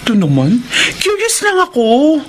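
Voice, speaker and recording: A woman's voice: on the high side, huge pitch swings, moderate pace, a little hiss or hum. An actress playing a nosy, gossipy young woman in a Tagalog love story drama, prying and teasing with exaggerated reactions. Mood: teasing, curious.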